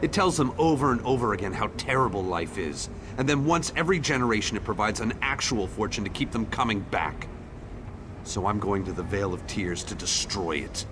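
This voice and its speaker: Defiant, embittered younger man, acted by a man: low register, very mobile intonation, blunt, fantasy game. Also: expressive, brisk, noisy background, lightly acted. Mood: scornful, determined. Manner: blunt, scornful. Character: bitter, defiant younger man, determined skeptic. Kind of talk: AI-voiced fantasy game dialogue.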